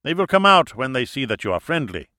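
Audiobook villain-host: hearty older German man, played by a male narrator, raised bright voice, oily courtesy. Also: mid-range voice, very animated, quick pace, no room sound, clean studio sound, voice pushed up. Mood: smooth, hospitable. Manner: hearty, effusive, falsely courteous. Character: big, jovial, unctuous older German expatriate man, theatrically gallant.

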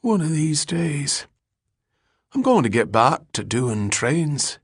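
A man's voice: medium pitch, lively intonation, slow, fairly bright tone, no room sound, studio quality. A man voicing a ragged, dazed drifter in an audiobook story, bitter and rambling, with repetitive, slangy street talk. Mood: wistful rambling.

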